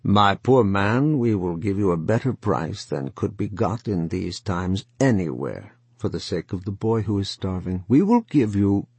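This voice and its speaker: A man's voice: mid-range voice, big pitch swings, a little slow, darkish tone, slightly rough, no room sound, voice pushed up. A man voicing a greedy one-eyed city buyer who speaks unctuously and harshly. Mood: oily.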